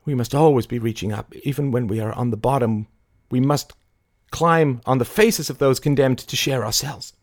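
A man's voice: mid-range voice, dry recording, studio quality, pitched above the performer's own voice, close to an everyday voice. A man voicing an intense, volatile woman, commanding yet fragile, in a dramatic audiobook reading. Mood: haunted, intense. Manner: dramatic, deliberate, mercurial.

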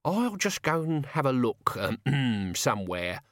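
A man voicing a shifty, leering male helper in an audiobook, coarse, loud and colloquial. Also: medium pitch, sing-song, takes it slowly, no echo, clean studio sound, pitched above the performer's own voice. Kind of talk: audiobook character reading.

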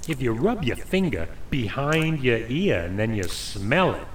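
Noir audio drama: male actor as childish, slow-witted gangster sidekick, lively pitch, roomy sound. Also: distant mic. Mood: earnest, teasing. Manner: whiny, naive, slow-witted. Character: dim, childish younger-brother gangster henchman. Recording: roomy, faint background noise.

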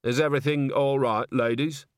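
A man's voice: mid-range voice, steady tone, moderate pace, clean tone, dry recording, close to an everyday voice. A man playing a dry, ironic coach agent.

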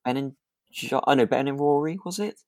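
A man co-hosting a podcast in relaxed chat, speaking tentatively as he thinks aloud. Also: medium pitch, brisk, no room sound, studio quality. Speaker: podcast co-host. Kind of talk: relaxed chat discussing a radio drama.

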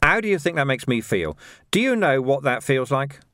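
A man's voice: medium pitch, very animated, normal speed, fairly bright tone, no echo, clean studio sound. A man voicing an irritable, weary watch commander in an audiobook, blunt and exasperated. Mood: bitter. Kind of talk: audiobook character voice.

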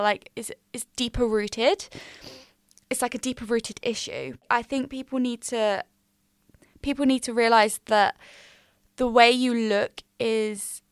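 A woman of twenty, hosting a casual solo podcast in a small quiet room, candid and upbeat with slangy asides. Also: a little high, smooth, no room sound, clean studio sound. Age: 20s. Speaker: podcast host. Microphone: studio mic.

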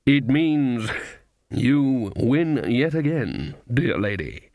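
A man voicing a smooth-talking card sharper with a drawling, courteous, falsely friendly manner, as an audiobook character. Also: medium pitch, animated, very slow, darkish tone, no echo, clean studio sound. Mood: mock dismay.

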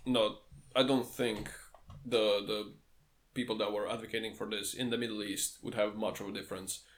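A man co-hosting a casual podcast, his voice sitting a little low. He lays out his points in a dry, matter-of-fact way and breaks into laughter now and then. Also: flat delivery, normal speed, a little hiss or hum. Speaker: podcast co-host.

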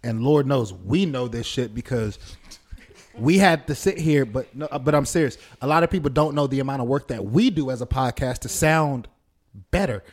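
A man's voice: medium pitch, normal speed. A man hosting a podcast in casual chat, talking emphatically with slang and swearing. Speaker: podcast host. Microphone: podcast studio microphone.

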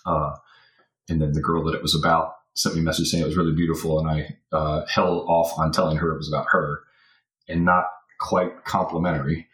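A man's voice: extremely low pitch, flat delivery, fairly quick, fairly bright tone, echoey room, rough recording. A man talking as a podcast guest in casual, rambling talk with many fillers and restarts.

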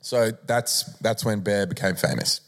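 A man's voice: on the low side, a bit bright. A man co-hosting a podcast, joking and riffing in casual banter with frequent laughs. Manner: joking, laughs a lot, riffs quickly. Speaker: podcast co-host.

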